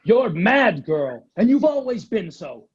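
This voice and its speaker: High, animated condemning churchman, played by a man. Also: slow pace, exaggerated voice. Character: condemning, pious medieval churchman at trial. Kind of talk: dramatic script table read. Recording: dull, lo-fi video call audio.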